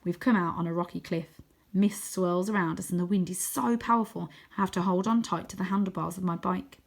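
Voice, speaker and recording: A woman narrating a children's adventure book in an expressive, dramatic way, sometimes hushed for suspense. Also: fairly low pitch, brisk, gravelly. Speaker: story reader.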